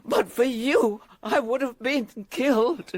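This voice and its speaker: Rescued victim: husky, slow, breathless and shaken, grateful radio drama delivery. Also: female voice, fairly high pitch, dry recording.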